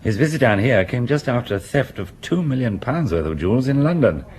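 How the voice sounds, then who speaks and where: An actor in a radio drama playing a dutiful police detective who reports in a factual, businesslike way. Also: male voice, medium pitch, big pitch swings, brisk, darkish tone, a little hiss or hum.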